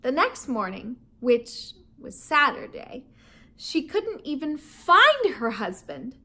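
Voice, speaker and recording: A woman teacher reads a children's novel aloud in an unhurried, expressive way. Her voice is high and bright, and her pitch swings widely as she tells the story. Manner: expressive, animated storytelling with laughs and gasps.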